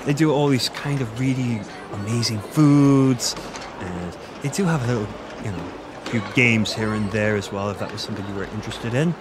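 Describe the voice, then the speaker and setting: A man's voice: fairly low pitch, normal speed, music in the background, natural voice. A man with an Irish accent playing a friendly, flirty fantasy village innkeeper in a conversational audio roleplay, chatty and confiding. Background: village ambience with distant chickens.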